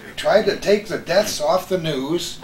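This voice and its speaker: Older American man, high-pitched voice, roomy sound. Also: measured pace. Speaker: host, veteran radio broadcaster. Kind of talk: reminiscing, anecdotal chat with old colleagues. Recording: roomy echo with some background noise.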